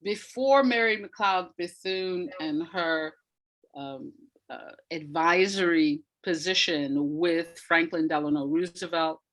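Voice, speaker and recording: A woman's voice: lowish voice, takes it slowly, a bit bright, poor audio. A woman from the United States speaking as an interview guest, explaining things conversationally and thoughtfully, often searching for the right word and stressing key points. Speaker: interview guest, audio drama writer.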